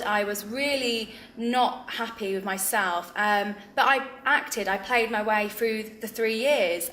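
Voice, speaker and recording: A woman's voice: mid-range voice, a bit bright, a little hiss or hum. A young woman from England with a Midlands accent, earnestly reflecting in an interview with frequent ums. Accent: English Midlands. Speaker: founder of a drama ministry. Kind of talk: interview testimony. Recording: roomy echo.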